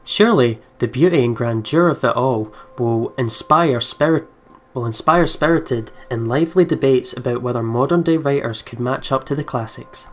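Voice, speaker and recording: A man doing let's play commentary and reading a game's text aloud in a chatty, reactive way. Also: medium pitch. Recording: music behind the voice. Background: game music.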